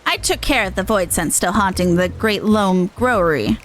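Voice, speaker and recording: A woman's voice: mid-range voice, moderate pace, faint music behind, close to an everyday voice, low-quality recording. A woman voicing a proud, theatrical male clown illusionist in a video game, speaking with pompous courtesy. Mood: smug, satisfied. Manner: pompous, formal, showmanlike.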